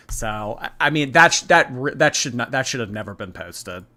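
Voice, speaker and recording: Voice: mid-range voice, expressive, a little slow, pushed and bright. A commentator giving casual, emphatic reactions, blunt and given to repeating phrases for effect. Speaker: host reacting to clips.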